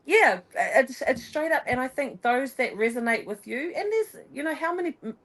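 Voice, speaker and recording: A woman's voice: high-pitched, moderate pace. A woman chats as a guest on a podcast. She talks in bursts, full of energy, breaking off and restarting her sentences as her thoughts run ahead. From New Zealand. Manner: energetic, rambling, self-interrupting. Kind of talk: casual conversation.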